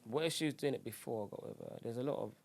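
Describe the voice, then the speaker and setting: A man's voice: medium pitch, gravelly. A young man with a London accent, a guest joking and trading banter in a casual podcast chat. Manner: joking, animated, quick banter.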